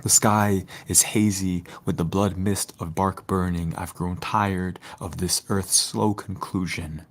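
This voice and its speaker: A man giving a solemn, measured, lyrical reading of spoken-word poetry. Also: deep voice, steady tone. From Detroit, United States. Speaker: poet.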